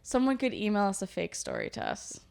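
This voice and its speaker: Smooth-voiced young woman co-host. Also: medium pitch, huge pitch swings, moderate pace, darkish tone. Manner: chatty, self-mocking. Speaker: podcast co-host. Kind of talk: casual chat.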